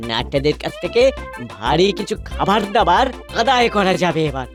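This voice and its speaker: A woman's voice: fairly low pitch, sing-song, normal speed. A woman voicing a scheming, taunting young troublemaker in a comic cartoon. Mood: smug. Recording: loud music bed under the voice.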